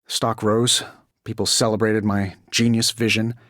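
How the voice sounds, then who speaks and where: An actor playing a witty, cocky billionaire inventor superhero in a video game, with a glib, sardonic, conversational delivery. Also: male voice, lowish voice, level tone, unhurried, a bit bright, no echo, clean studio sound. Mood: glib, teasing. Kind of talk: video game character voice lines.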